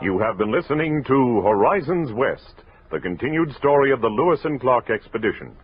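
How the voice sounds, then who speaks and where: A man's voice: mid-range voice, sing-song, normal speed, slight background noise. A man reading closing credits as a formal 1960s radio announcer. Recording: muffled old radio recording.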